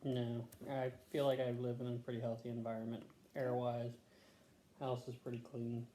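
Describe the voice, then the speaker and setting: A man answering health questions as a patient, casual and matter-of-fact, pausing to think in short answers. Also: mid-range voice, little pitch movement, mellow. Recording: echoey room with faint background noise.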